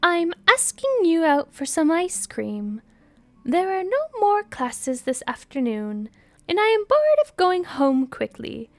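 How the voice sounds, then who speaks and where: Woman acting a loud, pouty, high-pitched student girl. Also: huge pitch swings, slow, no echo, heavily acted. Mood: eager, insistent. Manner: loud, complaining, insistent. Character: pouty, loud, pushy young female student friend.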